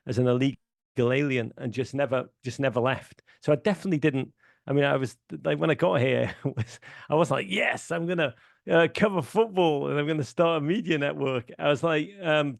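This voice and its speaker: A middle-aged man with a Liverpool accent talks on a podcast, his voice gravelly and rough at the edges. He is an enthusiastic storyteller who restarts his sentences often and stacks up fillers as he goes. The recording is dry, with no room sound. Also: medium pitch, no echo. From Liverpool, England. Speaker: guest. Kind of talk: casual podcast chat.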